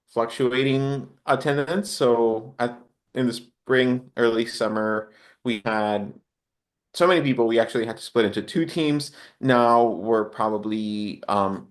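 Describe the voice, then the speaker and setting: A man's voice: mid-range voice, a little slow. Reflective man in casual conversation. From Honduras. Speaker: podcast guest. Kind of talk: casual interview chat.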